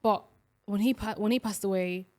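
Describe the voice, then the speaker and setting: A woman's voice: mid-range voice, normal speed, darkish tone. A young woman from Somalia co-hosting a casual podcast chat, talking in a chatty way with many fillers and restarts. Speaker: podcast co-host.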